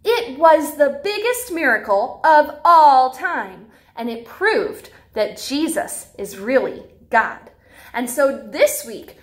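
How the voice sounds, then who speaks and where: A young woman with an American accent talks to young children as their teacher. Her voice sits high and swoops expressively from word to word. She phrases things simply, in the animated manner of a read-aloud. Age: young adult. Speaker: preschool teacher. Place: small classroom, indoors.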